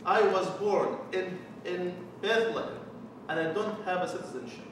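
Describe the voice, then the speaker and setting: A man's voice: sits high, expressive, normal speed, fairly bright tone. A man in his forties from Palestine with a Palestinian Arabic accent, a church mission developer giving an earnest personal testimony with wry jokes. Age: 40s. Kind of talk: personal testimony talk to a committee. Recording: echoey room from far off, with noise.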